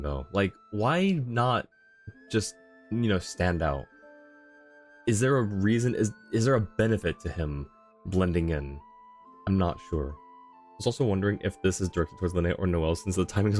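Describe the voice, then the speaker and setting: A man livestreaming a game with casual, thoughtful commentary as he chats with viewers, often pausing to find his words. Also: low-pitched, lively intonation, darkish tone, soft background music. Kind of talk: casual live commentary, answering chat.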